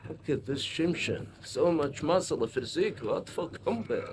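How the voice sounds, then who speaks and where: A man's voice: a little high, lively intonation, moderate pace, close to an everyday voice. A man playing a sly old scientist prisoner. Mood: wry. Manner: wry, teasing. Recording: game audio with clear background noise.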